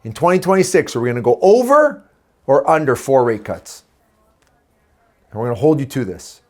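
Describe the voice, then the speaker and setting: A man's voice: mid-range voice, sing-song, moderate pace, darkish tone. A man co-hosting a casual business podcast, playful and teasing as he leads the segments. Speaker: podcast co-host.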